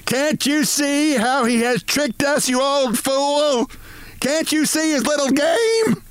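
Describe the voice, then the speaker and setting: Man putting on a shrill, furious woman. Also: takes it slowly, no echo. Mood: hysterical fury. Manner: screaming, bawling indignantly. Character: furious, shrill, hysterical woman office executive. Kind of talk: storybook character voice.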